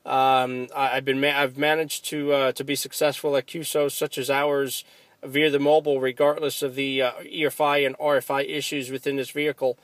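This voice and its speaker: A man's voice: medium pitch, little pitch movement, moderate pace, dry recording. American man chatting, dry close sound. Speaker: amateur radio operator. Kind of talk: casual ham radio conversation. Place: inside a car.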